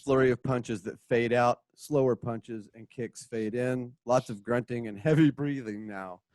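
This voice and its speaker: A man serves as narrator for a radio drama, reading out action and stage directions with spoken sound effects. His pitch is average and the sound is dry. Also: steady tone, a little slow, darkish tone, dry recording.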